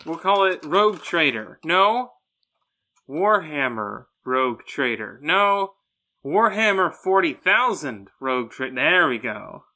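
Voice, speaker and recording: A man's voice: a little high, expressive, takes it slowly, pushed and bright. A man co-hosting a podcast, talking casually about a book and retelling it with acted-out quotes. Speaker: podcast co-host.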